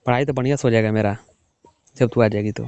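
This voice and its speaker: A man's voice: steady tone, fast, a little mellow, clean tone. A young man in a casual private chat on a phone call. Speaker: caller. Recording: muffled low-fidelity phone call recording.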